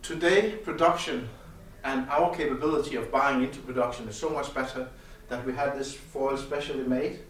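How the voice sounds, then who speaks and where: A man who speaks as a gives a halting technical explanation of audio gear as its designer. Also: medium pitch, steady tone. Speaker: loudspeaker designer presenting gear at an audio show. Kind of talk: technical product explanation in conversation. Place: indoor demo room at an audio show. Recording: echoey room, distant mic, faint noise.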